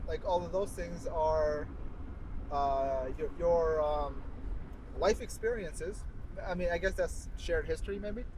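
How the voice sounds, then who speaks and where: A man's voice: on the high side, takes it slowly, a little mellow. A man hosting a casual vlog discussion, asking questions and summing up points. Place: inside a moving car. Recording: noisy car ride with road noise. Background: car and road noise.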